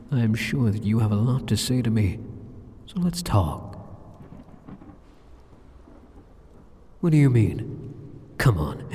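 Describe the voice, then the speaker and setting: A man playing a sadistic, arrogant demon king in a scripted ASMR roleplay, speaking straight to the listener in a taunting, condescending, theatrical manner with drawn-out phrases. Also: fairly low pitch, warm tone, a little hiss or hum. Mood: smug, taunting. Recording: long cavernous hall reverb.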